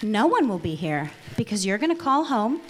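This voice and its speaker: A menacing vampire woman, played by an actress, commands with deliberate, controlled threat. Her pitch moves widely, in a live drama reading with faint background noise. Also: very animated, natural voice. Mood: cold menace.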